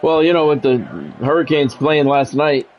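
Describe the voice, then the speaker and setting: An American man doing sports play-by-play for a video game stream, mixing game calls with relaxed, casual chat to viewers. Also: a little high, moderate pace. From United States. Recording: dull lo-fi audio with faint noise.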